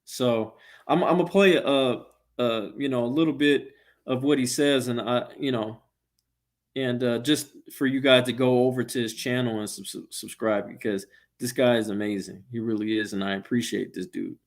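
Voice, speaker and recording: A man hosting his channel, introducing a clip in a hesitant, halting way with lots of fillers. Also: medium pitch, steady tone. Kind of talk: casual chat.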